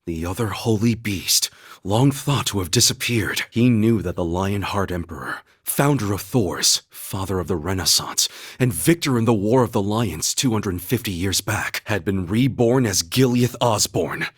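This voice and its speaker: An actor playing an earnest, steadfast young warrior-knight in a video game, speaking in a solemn, measured, formal manner. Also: male voice, on the low side, expressive, slightly rough, no echo, studio quality. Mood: solemn, composed. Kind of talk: dramatic English video game voice acting.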